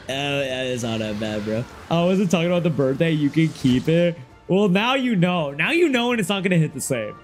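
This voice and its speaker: A young man streaming a game and chatting casually with his viewers, rambling in heavy slang and often trailing off quieter. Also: fairly high pitch, animated, normal speed. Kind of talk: casual livestream chat, reading chat aloud. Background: faint game music.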